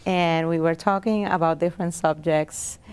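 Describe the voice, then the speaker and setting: A woman's voice: on the low side, steady tone, moderate pace, darkish tone, smooth. A woman from Puerto Rico hosting a conversational public health interview. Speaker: substitute host, public health worker.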